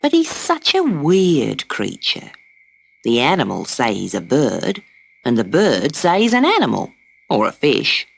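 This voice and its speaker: A woman voicing a gentle, protective mother kangaroo who speaks kindly and calmly. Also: extremely low pitch, a little slow, creaky, husky, full character voice. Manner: kindly, calm, hushed when afraid. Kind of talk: storybook character voice.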